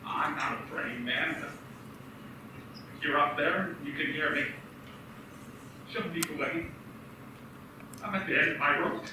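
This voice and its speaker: An actor playing a desperate young family man in a live radio play, pleading and halting. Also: a little high, noisy background. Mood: praying. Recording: echoey hall, voice from far off.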